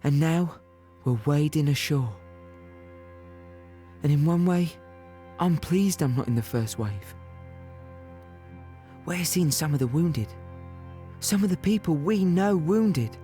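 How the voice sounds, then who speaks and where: An actor playing a plainspoken, weary infantryman in an audio play, reminiscing in a halting, reflective, conversational way. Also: on the high side, expressive, dark tone, faint music behind. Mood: sombre. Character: young British infantryman recounting war, plainspoken, weary, wistful. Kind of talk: audio play monologue, reminiscing in present tense.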